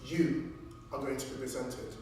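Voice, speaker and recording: An earnest male lawyer, played by a stage actor makes a slow, emphatic courtroom address with dramatic pauses. He is heard from far off in a very echoey space, with faint noise. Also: medium pitch, flat delivery, dark tone, natural voice. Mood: solemn, measured. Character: earnest, deliberate trial lawyer addressing a jury. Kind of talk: theatrical courtroom monologue. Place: large echoing performance room, distant microphone.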